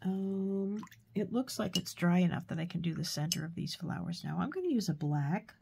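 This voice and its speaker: A woman with a stuffy nose from a cold, giving a relaxed, chatty painting tutorial with fillers and soft asides. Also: on the low side, normal speed, vocal fry, slight background noise. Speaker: art tutor.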